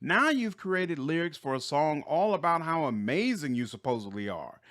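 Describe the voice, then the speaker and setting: A man's voice: fairly high pitch, big pitch swings, normal speed, a bit bright, pitched above the performer's own voice. Female fantasy game warrior, voiced by a man: exasperated, dry, grudging, unimpressed.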